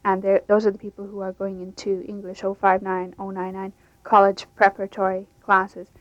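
A woman's voice: mid-range voice, monotone, moderate pace, no room sound. Plain-spoken woman instructor, lo-fi. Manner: plain-spoken, explaining with false starts. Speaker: English language instructor. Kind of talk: interview answers, unscripted. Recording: dull lo-fi radio recording.

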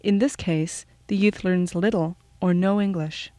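A woman reads scripted documentary narration in a calm, measured way. Her voice sits on the low side and sounds warm and mellow. The studio sound is dry. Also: dry recording. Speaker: program host and reporter.

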